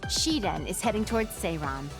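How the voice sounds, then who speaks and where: A woman's voice: lowish voice, big pitch swings, moderate pace, music in the background. A woman playing a helpful, perceptive team operator in an anime game dub. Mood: informative.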